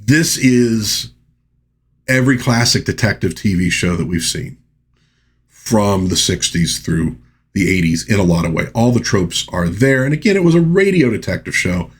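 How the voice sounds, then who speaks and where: A man's voice: lowish voice, lively intonation, moderate pace, slightly rough. A man hosting a movie review, recapping and commenting in a conversational, informal and folksy way. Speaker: film review host.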